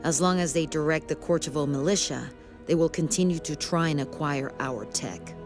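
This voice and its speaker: An actress playing a stern, authoritative sci-fi military commander in a video game, with formal, clipped briefing delivery. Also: female voice, deep voice, normal speed, over music, lightly acted. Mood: grave, resolute. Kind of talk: video game cutscene acting.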